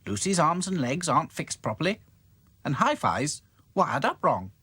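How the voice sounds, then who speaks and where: A man voicing a bossy, cheerful girl doll in a storybook, explaining things briskly. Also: fairly high pitch, big pitch swings, bright, forceful tone, slightly rough, dry recording, voice pushed up, exaggerated voice. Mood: eager.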